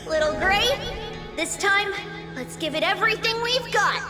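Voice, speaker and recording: A woman's voice: very high voice, expressive, slow pace, loud music behind the voice, exaggerated voice. A bubbly young girl. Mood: eager. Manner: eager and upbeat. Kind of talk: game cutscene voice acting.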